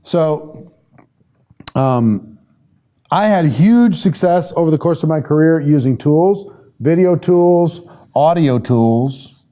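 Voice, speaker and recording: Man, slightly high-pitched, soft mellow tone, informal online trainer, conversational, thinks aloud. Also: lively intonation, slow, pauses often. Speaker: sales trainer leading a live online class. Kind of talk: informal live lesson, reading and answering viewer comments.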